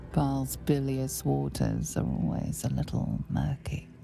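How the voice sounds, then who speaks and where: An actress playing a cool, knowing female adventurer in a fantasy game, measured and dry, with a breathy, creaky edge. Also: extremely low pitch, sing-song, exaggerated voice. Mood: cool, probing. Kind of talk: dramatic fantasy game acting. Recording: faint music behind the voice.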